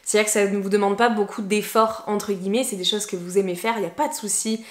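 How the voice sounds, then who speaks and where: A woman's voice: medium pitch, steady tone, fast, reverberant. A woman English teacher, giving casual, encouraging tips to camera with plenty of fillers. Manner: chatty, encouraging, many fillers.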